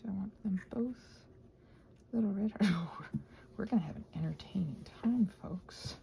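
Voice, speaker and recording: Mellow, creaky-voiced woman rambling softly. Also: medium pitch, moderate pace, a little hiss or hum, poor audio. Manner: hesitant, thinking aloud, trailing off mid-sentence. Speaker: host of a crafting ramble channel. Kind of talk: casual rambling craft chat, ASMR-like.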